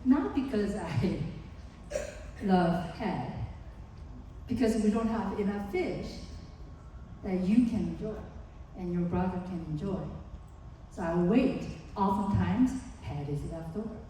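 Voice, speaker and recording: A woman's voice: mid-range voice, slow pace, pauses often, dark tone, slight background noise. A woman with a Korean accent giving an earnest, explanatory seminar talk to parents as a psychologist, acting out examples as she goes. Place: large conference hall. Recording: distant, echoey hall.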